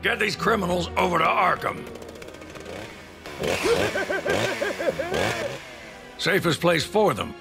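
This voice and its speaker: An actor playing an earnest older police commissioner in a video game, gravelly, brisk and trusting. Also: male voice, high voice, sing-song, over loud music, full character voice. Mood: puzzled.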